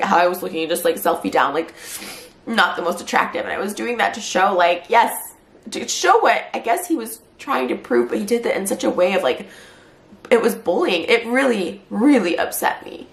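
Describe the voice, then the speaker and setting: Tired, hurt woman vlogging, gravelly voice. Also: medium pitch, reverberant. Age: 30s. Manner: tired, hurt and fast-talking, with many "like" fillers. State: short on sleep, near tears later. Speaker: YouTuber. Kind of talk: emotional response vlog.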